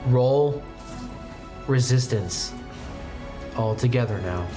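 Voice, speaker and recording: A man's voice: medium pitch, extremely slow, music in the background. A man narrating a tabletop role-playing game as game master.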